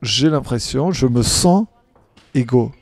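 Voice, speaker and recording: A man interpreting a panel speaker simultaneously, talking in halting phrases with frequent restarts and self-corrections. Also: mid-range voice, animated, no echo. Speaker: conference interpreter.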